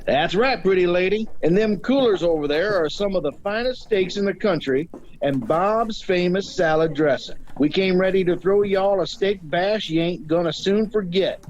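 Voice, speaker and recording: A man's voice: high voice, moderate pace, darkish tone, lo-fi sound, lightly acted. A man playing a blustering, plain-spoken American restaurant owner with a folksy, bossy manner in an audio drama. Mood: hearty, eager. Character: gruff, folksy male steakhouse owner, bossy but big-hearted.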